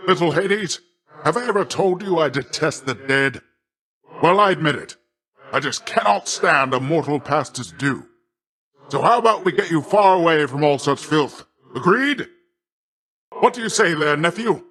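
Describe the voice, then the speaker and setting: An actor playing a boastful, middle-aged sea god in a video game, a hearty and doting uncle with a grandiose, jovial, theatrical delivery. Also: male voice, a little high, lively intonation, moderate pace, long pauses, fairly bright tone. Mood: confident, chummy. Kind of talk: video game character voice lines.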